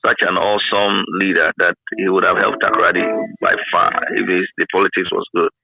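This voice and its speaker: A man's voice: low-pitched, flat delivery, a little fast, vocal fry, over music. A man with a Ghanaian accent, middle-aged, reminiscing in a casual interview and often repeating words for emphasis. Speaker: interviewee, former radio broadcaster. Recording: narrow phone-like line.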